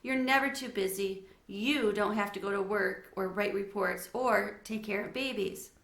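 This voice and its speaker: A woman's voice: medium pitch, moderate pace, creaky, fairly bright tone, reverberant. A woman voicing a talkative, lonely, imaginative young schoolgirl in a children's storybook, earnest and gushing. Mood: confiding.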